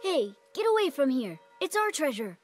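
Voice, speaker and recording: A woman as a high-pitched, scrappy kid. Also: big pitch swings, moderate pace, soft background music. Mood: defiant. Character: defiant, scrappy child treasure hunter. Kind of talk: video game cutscene acting.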